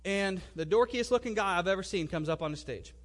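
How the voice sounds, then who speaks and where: High-pitched young man giving a testimony. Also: expressive, fairly quick. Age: 20s. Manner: conversational and colloquial, acting out remembered dialogue. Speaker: speaker giving a personal testimony. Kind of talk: personal testimony storytelling to an audience.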